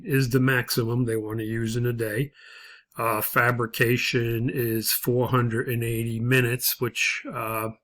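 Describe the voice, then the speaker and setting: A man instructing through an unscripted spreadsheet walkthrough, explaining things in a halting, matter-of-fact way. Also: mid-range voice, a bit bright.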